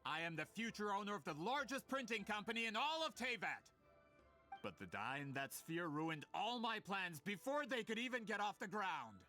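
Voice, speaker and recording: An actor playing a scheming, haughty merchant in a video game, pompous and theatrical. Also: male voice, high-pitched, very animated, moderate pace, bright, forceful tone, in a large hall, faint music behind, full character voice. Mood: scornful, furious. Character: scheming, haughty merchant man, desperate and indignant. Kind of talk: video game villain acting.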